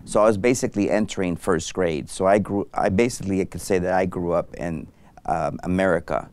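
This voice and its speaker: Middle-aged guy from mexico, real deep clean voice, lapel mic, kinda halting and thoughtful. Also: a little mellow. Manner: thoughtful, halting with many fillers and restarts. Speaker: anesthesiologist guest. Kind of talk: interview conversation. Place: studio set with armchairs.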